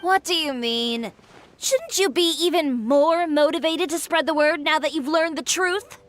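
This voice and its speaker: A confident, frank young woman in a video game. Her voice is high and brisk, with faint music behind it. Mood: challenging. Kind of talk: video game cutscene acting.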